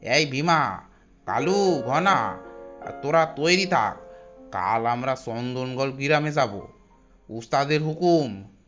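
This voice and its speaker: A country bandit sidekick, played by a man with a medium-pitched voice. He speaks in a homely, hesitant way for a cartoon horror tale, with music underneath. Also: lively intonation, takes it slowly, pauses often, a bit bright. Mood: bossy, urging. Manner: hesitant, rustic colloquial speech. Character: anxious, deferential rustic bandit henchman, loyal to boss. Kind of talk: animated horror story dialogue. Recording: music bed behind voice.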